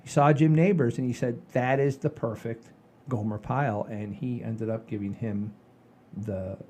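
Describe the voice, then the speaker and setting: An older man hosting a podcast, telling stories in a folksy, rambling, animated way with a husky voice. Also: mid-range voice, normal speed, slight background noise. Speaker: podcast host. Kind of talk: casual chat and storytelling.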